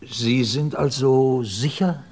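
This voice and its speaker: An actor playing a proud, blunt head of a research institute in a 1950s German radio drama, with a husky voice and formal, emotional delivery. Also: male voice, mid-range voice, slow, noisy background, close to an everyday voice. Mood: probing.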